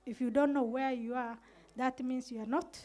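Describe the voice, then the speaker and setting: A middle-aged woman with a Kenyan Somali accent and a high voice tells a panel her story in earnest, slipping into quoted exchanges as she goes. She holds a handheld microphone in an indoor room. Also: steady tone, moderate pace, darkish tone. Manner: earnest, recounts events with quoted dialogue. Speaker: community radio manager on a panel.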